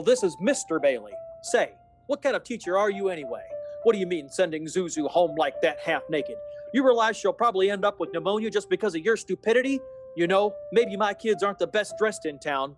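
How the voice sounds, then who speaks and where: A man's voice: high voice, animated, a little fast, over music. An amateur actor playing a distraught, short-tempered family man in an old-time radio play, agitated and stammering. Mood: irritated, angry.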